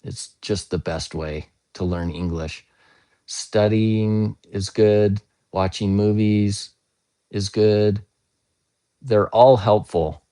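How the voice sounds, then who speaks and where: A man speaks slowly in a low, hoarse, scratchy voice. He chats casually with viewers during a live-stream English lesson. He explains patiently and often spells words out letter by letter. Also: steady tone, mellow. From United States.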